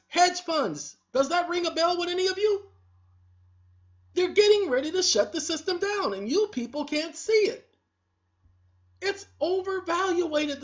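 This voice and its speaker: Scolding woman preaching at viewers, lo-fi. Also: very high voice. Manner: preachy, scolding, repetitive, talks straight to the audience. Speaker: online video host. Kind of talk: confrontational monologue to viewers. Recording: dull lo-fi recording.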